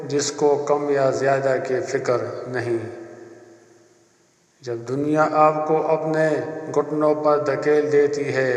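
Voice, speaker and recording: A man giving a scripted narration of inspirational spiritual quotes in a solemn, deliberate way with measured pauses. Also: medium pitch. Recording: echoey, long reverb.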